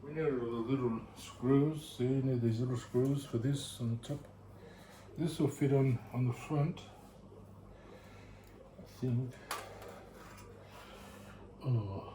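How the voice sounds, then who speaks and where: A man vlogging at home, thinking aloud in a bored, muttering way as he builds a computer, picked up by a camera mic. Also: medium pitch. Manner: sounds bored, muttering to himself. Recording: echoey room with faint hiss.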